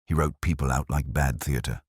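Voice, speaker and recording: A man as a muttering, deep-voiced sardonic sergeant. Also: steady tone, moderate pace, husky, dry recording. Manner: muttering, dry, blunt. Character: male police sergeant, muttering blunt sardonic observations.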